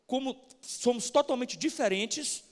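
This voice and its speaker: Brazilian man, very high voice, eager sermon interpreting, restarts, reverberant church hall. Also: measured pace. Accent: Brazilian Portuguese.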